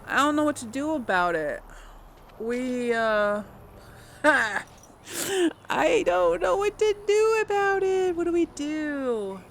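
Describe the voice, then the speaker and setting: A woman's voice: sits high, sing-song, slow pace, a little hiss or hum. A woman giving chatty, informal let's play commentary as a gaming channel host, talking directly to her viewers.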